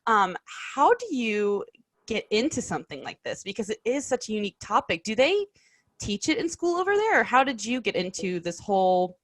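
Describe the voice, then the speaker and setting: A woman co-hosting a podcast interview in a chatty, friendly way, her voice a little raspy and low from the early hour. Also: very animated, moderate pace, dry recording. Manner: chatty, frequent laughs and affirmations. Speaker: podcast co-host.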